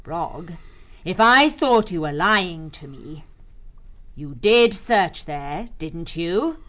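An actress playing an imperious but anxious animal leader in an audiobook, commanding and nervy. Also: female voice, fairly low pitch, expressive, takes it slowly, a bit bright, lo-fi sound. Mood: suspicious. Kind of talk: full-cast audiobook acting.

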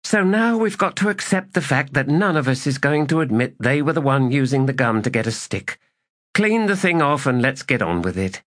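A man's voice: medium pitch, lively intonation, moderate pace, a bit bright, voice pushed up, lightly acted. A man reading an audiobook, voicing a weary, blunt female army sergeant in a brusque, exasperated manner.

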